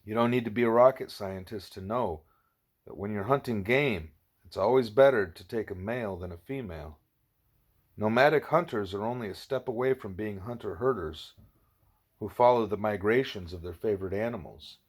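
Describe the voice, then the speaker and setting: A man reading the part of a wise, patient gorilla teacher in an audiobook, explaining in a measured, didactic way. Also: on the low side, natural voice. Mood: patient, instructive.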